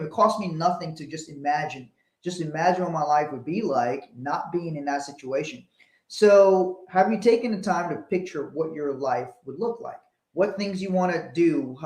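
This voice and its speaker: Liberia-born male co-host, a little high-pitched, earnest motivational delivery, roomy echo. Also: darkish tone. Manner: earnest, repeats words when starting sentences. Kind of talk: motivational talk. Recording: echoey room.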